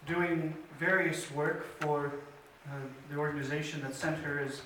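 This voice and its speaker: A man's voice: on the high side, fairly even intonation, bright, forceful tone, slight background noise. Earnest man telling a story in an echoey church. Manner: earnest, measured telling with pauses and fillers. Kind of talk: children's story time retelling. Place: church sanctuary. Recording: very echoey church hall from a distance.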